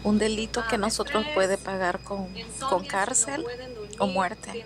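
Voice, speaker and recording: A woman with a Nicaraguan Spanish accent giving steady testimony in a documentary interview. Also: medium pitch, normal speed. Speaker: asylum seeker. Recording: crowd noise behind.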